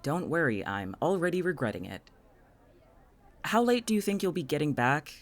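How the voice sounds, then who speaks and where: A man's voice: fairly high pitch, big pitch swings, moderate pace. An actor playing a sardonic, stubborn young man in an audio drama, speaking in a dry, wryly sarcastic way with a wounded undertone. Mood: teasing, deadpan. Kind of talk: naturalistic audio drama acting.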